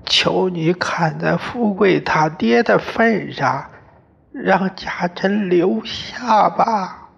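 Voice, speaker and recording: Man playing an old mother pleading through tears, high and drawn out, husky. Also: a bit bright, long reverb tail, heavily acted. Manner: slow, tearful begging. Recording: muffled lo-fi recording.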